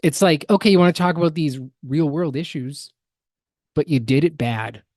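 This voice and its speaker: A man's voice: fairly high pitch, moderate pace, darkish tone, no room sound. Man giving casual commentary, close dry sound. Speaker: podcast co-host. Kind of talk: casual explanatory commentary on video games.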